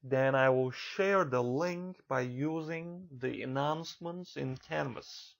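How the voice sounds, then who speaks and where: A man from Turkey with a Turkish accent delivers a recorded lecture for an online college course. His voice has a gravelly edge, and he speaks in a measured, careful way, sometimes restarting a phrase. His pitch sits in an ordinary middle range. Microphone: computer mic for a narrated slideshow.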